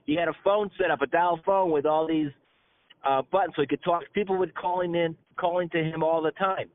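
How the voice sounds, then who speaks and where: A man's voice: a little high, fairly even intonation, a little fast, dry recording. Man teaching over a tinny phone line. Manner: conversational storytelling, stammering repetitions, colloquial asides. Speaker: rabbi teaching a class. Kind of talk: informal religious teaching by conference call. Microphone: telephone conference call.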